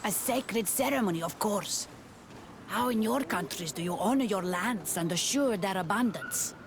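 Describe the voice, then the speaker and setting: A woman's voice: medium pitch, lively intonation, moderate pace, gravelly, background noise. A woman playing a wary, brusque villager of a fantasy island clan in a video game. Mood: proud, instructive.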